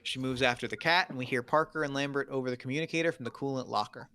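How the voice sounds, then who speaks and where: Mid-pitched man reading stage directions. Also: quick pace, pushed and bright, clear, resonant tone. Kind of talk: scripted narration at a table read.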